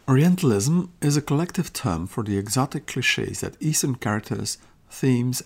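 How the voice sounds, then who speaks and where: Gravelly-voiced man lecturing in a podcast. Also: mid-range voice, a little mellow. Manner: measured, explanatory delivery with occasional restarts; quotes passages aloud. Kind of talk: lecture-style podcast narration.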